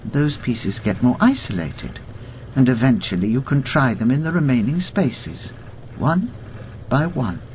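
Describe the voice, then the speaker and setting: Elderly ex-intelligence officer, radio drama: precise, coldly insistent, very expressive intonation, faint vehicle noise behind. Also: female voice, very deep voice, a little slow, exaggerated voice. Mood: measured, knowing. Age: 70s or older. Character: steely, accusing elderly woman, former wartime intelligence officer.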